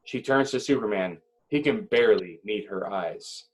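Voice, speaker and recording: A man reading screenplay directions as dramatic narration at a table read, with deliberate phrasing and short pauses. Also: fairly low pitch, rough recording.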